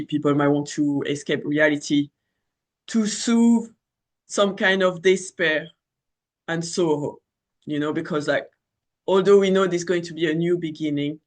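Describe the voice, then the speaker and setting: A man in his thirties speaks with a French accent, his voice sitting a little high. He talks loosely and casually, circling back on himself with fillers and restarts. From France. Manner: rambling, many fillers and restarts. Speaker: astrology channel host. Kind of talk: casual spoken astrology talk.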